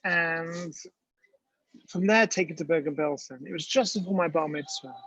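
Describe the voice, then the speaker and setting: A man's voice: a little high, normal speed, a bit bright, dry recording. A man giving a storytelling lecture with slides, talking conversationally with many ums and restarts, in a dull, dry lo-fi recording.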